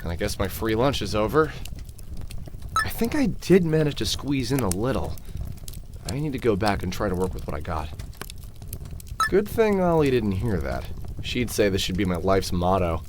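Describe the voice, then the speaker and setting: A man's voice: lowish voice, very animated, normal speed, pauses often, music in the background. A man voicing a wry male protagonist in a game, talking to himself in a dry, sardonic way. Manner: dry, wry self-talk. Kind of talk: game dialogue.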